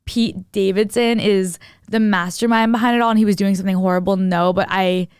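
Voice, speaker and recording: Smooth-voiced young woman podcast co-host, close and dry. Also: medium pitch, steady tone, a little fast, dry recording. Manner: slangy, conversational, frequent "like". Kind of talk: casual opinionated podcast chat.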